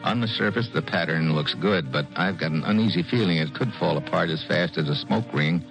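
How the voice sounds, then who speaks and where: An actor playing a tough, wry American special agent with a clipped, sardonic manner in a 1950s radio drama. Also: male voice, sits low, fairly quick, vocal fry, loud music behind the voice, poor audio. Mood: brooding.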